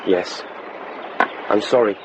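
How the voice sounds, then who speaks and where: An actor playing an earnest, awkward young man in a radio drama, hesitant and apologetic. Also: close to an everyday voice. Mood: nervous, hopeful. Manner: hesitant, halting. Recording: loud noisy vehicle-like ambience behind.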